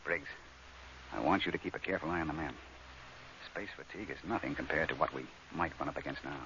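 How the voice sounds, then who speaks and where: An actor playing a commanding, curt spaceship captain in an old science fiction radio drama, speaking in a clipped, authoritative way. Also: male voice, very deep voice, fast talker. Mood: steady, relieved. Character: hard-pressed, commanding male spaceship captain, curt and gruff. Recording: dull old radio recording, faint noise.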